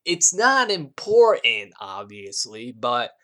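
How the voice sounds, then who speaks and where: A man's voice: mid-range voice, huge pitch swings, slow, bright, forceful tone, full character voice. A brash, enthusiastic teenage girl, read by a man in a story. The delivery is casual and punchy.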